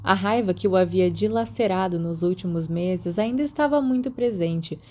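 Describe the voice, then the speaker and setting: A woman's voice: on the low side, moderate pace, warm tone. A woman with a Brazilian Portuguese accent reading a story aloud as a scripted audiobook narrator. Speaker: podfic narrator.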